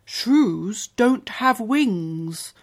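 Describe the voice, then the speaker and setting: A woman's voice: mid-range voice, lively intonation, very slow, exaggerated voice. A woman voicing a cautious, gloomy male pig who doubts and frets. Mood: doubtful. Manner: doubtful, fretting.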